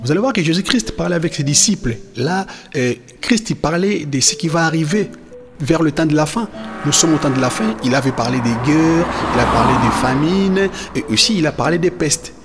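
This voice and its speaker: A man's voice: on the high side, brisk, over music, lightly acted. A man playing a devout, proud, affectionate father who teaches his children, effusive and encouraging, repeating phrases for emphasis. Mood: instructive.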